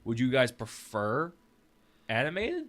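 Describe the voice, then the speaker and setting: A man's voice: medium pitch, wildly swooping pitch, unhurried, a bit bright. A man chatting casually as a podcast panelist, informal and often putting questions to the group. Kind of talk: casual chat.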